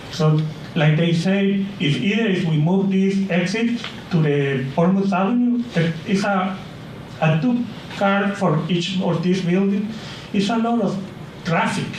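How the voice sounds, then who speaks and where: A man giving a public comment at a town meeting, earnest and halting, with uneven grammar. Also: sits high, a little mellow, slight background noise. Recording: echoey hall, picked up from a distance.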